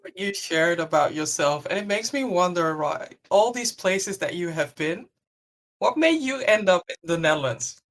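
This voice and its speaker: A co-host with a mid-pitched voice welcomes a guest and asks questions. The manner is friendly and upbeat. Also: lively intonation, normal speed, a bit bright. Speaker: podcast co-host. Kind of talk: interview questions.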